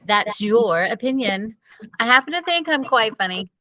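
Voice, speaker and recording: A woman's voice: fairly high pitch, expressive, normal speed, bright, forceful tone, low-quality recording. A woman playing a wry, teasing but caring mother in a storybook reading. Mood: playful teasing.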